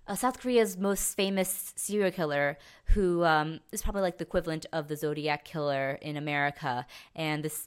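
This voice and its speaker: A woman's voice: on the low side, fairly bright tone, smooth. A young adult woman co-hosting a podcast, chatting casually and enthusiastically. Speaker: podcast co-host. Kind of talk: casual chat.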